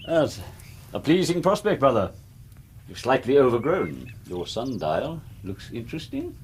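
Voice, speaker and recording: A man's voice: mid-range voice, huge pitch swings, unhurried, a little mellow, a little hiss or hum. An actor playing a middle-aged, courteous and reserved English businessman in a radio drama, speaking formally. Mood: polite, awkward. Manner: formal, guarded, polite. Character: reserved, courteous English factory-owner brother, quietly principled.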